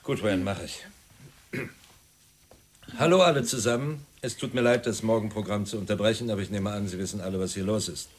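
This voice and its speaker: Actor as pompous, husky middle-aged film director. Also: male voice, lowish voice, fairly quick, close to an everyday voice. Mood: composed, formal. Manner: pompous, indignant. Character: Bruce, proud, pompous middle-aged male film director held hostage. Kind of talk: radio drama acting.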